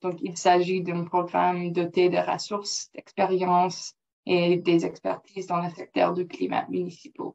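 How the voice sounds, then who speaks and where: A woman whose first language is English presenting slides in French, careful and halting with non-native phrasing, over a computer mic on a video call. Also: fairly low pitch, completely flat delivery, vocal fry, darkish tone. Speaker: project officer presenting. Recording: dull lo-fi call audio.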